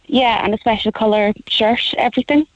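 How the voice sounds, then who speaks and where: A woman from Belfast with a Belfast accent, a campaign organiser calling in to a radio chat show, talking emphatically and jumping up in pitch on her points. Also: fairly quick, no room sound, muffled recording. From Northern Ireland. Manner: lively, emphatic, pitch jumps up when stressing points. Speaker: caller, back-to-school campaign organiser.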